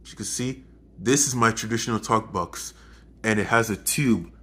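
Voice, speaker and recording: A man giving a casual hands-on music gear demo to viewers, halting as he goes, often repeating and correcting himself. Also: fairly low pitch, pushed and bright. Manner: halting, repetitive, self-correcting. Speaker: video host demonstrating music gear.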